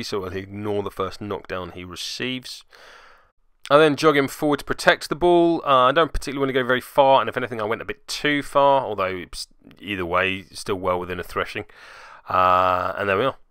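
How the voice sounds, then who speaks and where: A man's voice: on the low side, pushed and bright, clear, resonant tone, dry recording. A man giving casual play-by-play commentary on a tabletop game, with jokey, dry asides. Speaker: host and player narrating a tabletop game report.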